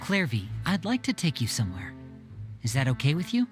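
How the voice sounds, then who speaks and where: Lively young magician and protective brother. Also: male voice, mid-range voice, fairly quick, music in the background. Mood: earnest, resolved. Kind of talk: game voice acting.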